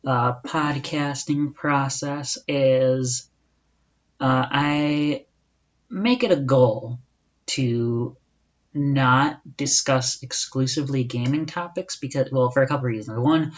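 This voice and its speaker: A man's voice: mid-range voice, slow. Young man, solo podcast host: loose, chatty, self-correcting, on a slightly muffled recording. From United States. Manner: casual and chatty, with rhetorical asides and self-corrections. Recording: dull lo-fi recording.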